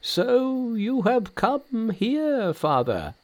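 Elderly temple priest performed by a male reader. Raised pitch, awed, deferential storybook tone. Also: lively intonation, a little slow, a little mellow. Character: reverent, grateful old male temple priest. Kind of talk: storybook character voice.

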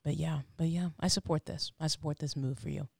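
A woman's voice: low-pitched, steady tone, brisk, creaky, a crowd in the background. A woman co-hosting a podcast, supportive and affirming. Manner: supportive, lots of affirming murmurs. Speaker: podcast co-host. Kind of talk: casual podcast chat.

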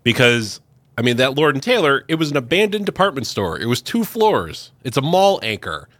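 A man's voice: medium pitch, very animated, moderate pace, a bit bright, no echo. A man co-hosting a podcast in casual chat, dryly correcting and teasing his partner. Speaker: podcast co-host.

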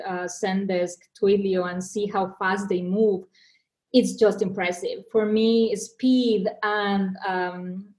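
A woman's voice: medium pitch, darkish tone. A woman from Mexico with a Mexican accent, a marketing executive speaking as a podcast guest in an earnest way, often restarting and repeating words. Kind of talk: podcast interview.

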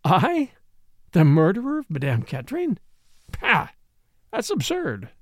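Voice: medium pitch, huge pitch swings, measured pace, no echo, studio quality, full character voice. A reader voicing a suave, shrewd French count in an audiobook story, languid, polite and ironic. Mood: languid, dismissive.

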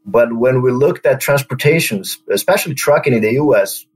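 A man from Brazil with a Brazilian accent, speaking as an interview guest in a measured way, often adding "uh" and "right?". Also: mid-range voice, expressive, slightly rough. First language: Portuguese. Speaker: guest, company co-founder. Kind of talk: conversational interview.

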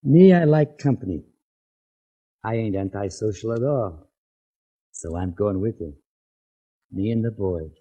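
A man's voice: fairly low pitch, animated, a little slow, pauses often, mellow, breathy edge, highs cut off. An actor playing a menacing, cocky gambling boss in a 1940s radio crime drama, with slangy, needling tough-guy talk.

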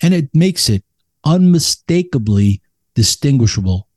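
A man's voice: medium pitch, sing-song, a little slow, darkish tone, no echo, studio quality. A man speaking as an expert guest in a casual chat, emphatic and opinionated about his craft. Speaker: expert podcast guest, cigar blender.

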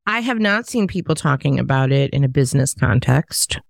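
A woman's voice: a bass voice, expressive, brisk, gravelly, dry recording, clean studio sound. A middle-aged woman co-hosting a podcast, talking candidly in a casual chat and telling stories from her own work. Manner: candid, storytelling. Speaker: podcast co-host.

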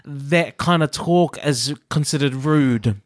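A man with a New Zealand accent chatting casually and playfully as a podcast co-host, with an animated way of talking. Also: fairly high pitch, takes it slowly, no echo, clean studio sound. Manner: animated, playful, acts out imagined quotes. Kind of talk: casual chat about a TV show.

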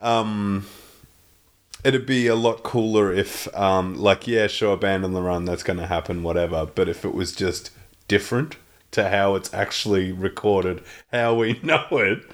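A man's voice: low-pitched, unhurried. A man co-hosting a podcast in casual chat, joking wryly and chiming in with short remarks. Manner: wry, joking, short interjections. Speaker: podcast co-host. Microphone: podcast microphone on a stand.

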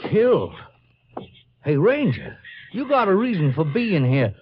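Blunt crew boss, high and excitable; muffled 1950s western radio recording. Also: male voice, measured pace, slight background noise. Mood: surprised, challenging.

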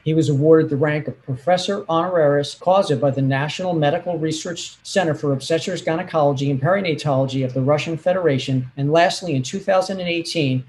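A man hosting an interview, speaking in a formal, measured way as if reading a prepared introduction. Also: fairly high pitch, a little mellow, rough recording. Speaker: interviewer and host.

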